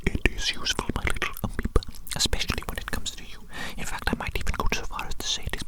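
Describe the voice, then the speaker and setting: A performer playing a doting, eccentric evil doctor in a whispered ASMR roleplay, speaking in a breathy, theatrical way with formal, repetitive phrasing. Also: fast, lightly acted. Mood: proud, conspiratorial.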